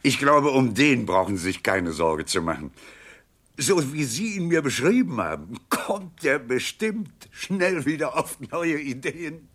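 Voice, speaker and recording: An actor playing a smug, courteous crooked banker, speaking in a suave, formal manner in a 1970s radio crime comedy. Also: male voice, mid-range voice, big pitch swings, measured pace, a bit bright. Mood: amused reassurance. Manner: suave, formal, self-satisfied.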